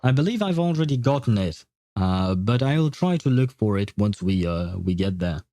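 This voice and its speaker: A man's voice: on the low side, very animated, normal speed, dark tone, dry recording, clean studio sound. A man hosting a gaming livestream, talking casually and thinking aloud in a rambling way. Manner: rambling, thinking aloud, chuckles at own jokes. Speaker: livestream host.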